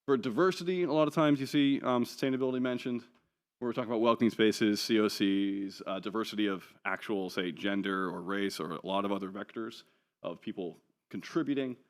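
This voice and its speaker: Guy w/ a lowish voice doing a laid-back conference talk, jokey asides, lots of ums. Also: a little fast. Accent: none New Zealand. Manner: casual, chatty, wry asides with many ums. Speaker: conference speaker. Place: conference hall.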